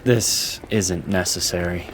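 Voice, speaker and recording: An actor playing a loyal, quick-witted inquisitor in a fantasy audio drama, composed and deferential, with clipped delivery. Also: male voice, low voice, a little hiss or hum.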